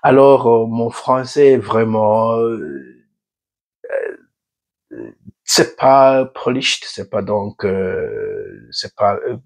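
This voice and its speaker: A middle-aged man from Burundi with a Burundian accent, speaking a second language slowly and hesitantly, often pausing to search for words. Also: on the low side, big pitch swings, gravelly. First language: a Burundian home language. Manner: measured, deliberately slow. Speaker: video host. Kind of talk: casual talk to camera.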